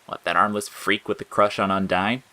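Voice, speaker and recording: A man's voice: lowish voice, level tone, moderate pace, pushed and bright. A man voicing a bitter, bored talking flower in an audio story, sarcastic and snappish. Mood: scornful. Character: sneering bitter talking flower, spiteful bored male monster. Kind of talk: audio fiction character acting.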